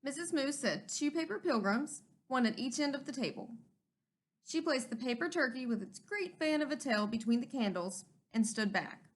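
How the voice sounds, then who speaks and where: A woman reading a children's storybook aloud as a teacher would, in an expressive narrating style. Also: sits high, normal speed.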